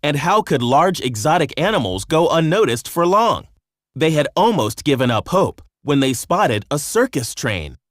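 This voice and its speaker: A man narrating a children's story from a script in an expressive storytelling manner. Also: fairly high pitch, moderate pace, no room sound, studio quality.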